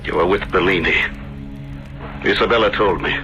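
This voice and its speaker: An actor playing a proud, jealous, murderous husband in a theatrical 1970s radio drama style. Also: male voice, deep voice, normal speed, sharp tone, dull audio. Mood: suspicious, accusing. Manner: theatrical, emphatic delivery. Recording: vintage radio drama with music.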